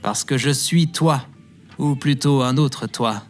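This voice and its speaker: A man's voice: medium pitch, takes it slowly, faint music behind, lightly acted. A man playing a smug, contemptuous, successful parallel-universe double in a French audio drama, speaking with condescension. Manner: condescending, scornful.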